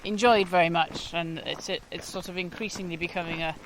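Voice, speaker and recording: A woman's voice: on the low side, steady tone, slight background noise, poor audio. A Welsh woman in her forties, a guest musician talking in a thoughtful, hesitant way during an outdoor interview on a walk. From Wales. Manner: thoughtful, hesitant, often pauses mid-phrase.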